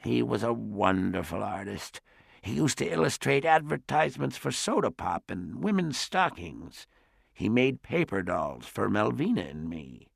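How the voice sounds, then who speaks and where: A man voicing a frail, wistful elderly great-aunt who talks softly and slowly in an audiobook. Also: fairly low pitch, big pitch swings, gravelly, breathy edge, no echo, clean studio sound, full character voice. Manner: soft, slow and reminiscing. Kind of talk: audiobook character voice.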